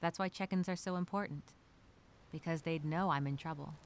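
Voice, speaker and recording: A woman voicing a resilient, chatty female castaway telling a survival diary, expressive and talking to herself. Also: low voice, brisk. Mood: weary, wistful. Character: stranded castaway woman journaling, resilient, chatty, wryly upbeat. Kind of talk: first-person diary storytelling, acted.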